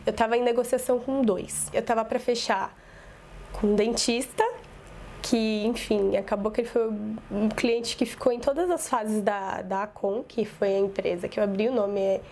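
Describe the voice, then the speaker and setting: Woman, thirties, rambling storyteller, slightly high, mellow voice, home office. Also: steady tone, normal speed, slight background noise. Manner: rambling, self-correcting, conversational. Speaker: communications business owner and professor. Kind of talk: casual personal storytelling to camera.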